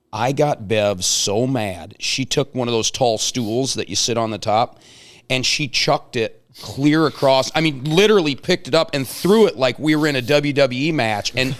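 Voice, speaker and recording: A middle-aged man hosting a casual chat, telling anecdotes and joking as he prods his guests. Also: mid-range voice. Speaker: show host.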